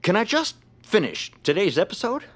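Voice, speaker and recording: A man's voice: sits high, huge pitch swings, normal speed, a bit bright, heavily acted. An actor playing a pompous, old-fashioned male radio host who is exuberant and easily flustered, in a florid announcer style for a comedy audio drama. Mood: dry, resigned. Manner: theatrical, florid announcer delivery. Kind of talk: audio fiction comedy acting.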